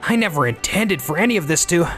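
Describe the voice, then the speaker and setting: A man plays a flustered middle-aged executive in a manga drama, defensive and stammering. His voice sits fairly high and swings widely in pitch, with music underneath. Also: animated, quick pace, fairly bright tone. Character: flustered, defensive disgraced male company executive, ambitious. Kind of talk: manga dub voice acting.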